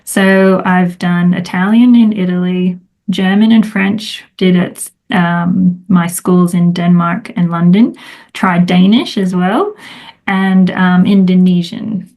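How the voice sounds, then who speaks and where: Casting: middle-aged woman, low-pitched, chatty, rambling coach guest. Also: takes it slowly, a little mellow. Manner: chatty, rambling with many fillers. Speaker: guest, time management coach. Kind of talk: casual interview conversation.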